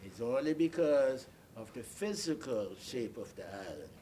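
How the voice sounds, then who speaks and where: A man's voice: medium pitch, lively intonation, warm tone. Elderly man reminiscing, noisy recording. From Bahamas. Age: 80s or older. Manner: deliberate, halting storyteller, repeats and explains. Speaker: interviewee. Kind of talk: oral history recollection.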